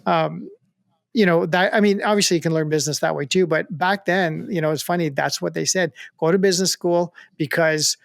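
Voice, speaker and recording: Older-ish dude, gravelly fry in his voice, super dry close sound, chatty, you know, kinda halting. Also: a little high, steady tone, dry recording. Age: middle-aged or older. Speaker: podcast co-host, photographer. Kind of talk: casual chat.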